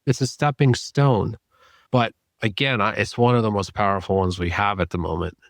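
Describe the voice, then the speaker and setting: A man speaking as a podcast guest, giving measured, thoughtful answers and repeating points for emphasis. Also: fairly low pitch, fairly even intonation, dry recording, studio quality. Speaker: podcast guest, psychedelic therapy clinician. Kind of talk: reflective interview talk.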